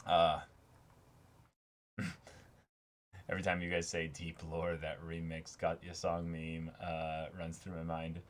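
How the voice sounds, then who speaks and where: Low-voiced man on a read-along stream. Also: completely flat delivery, slow, pauses often. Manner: jokey, mock-dramatic, profane. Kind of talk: reading comic dialogue aloud with casual reactions.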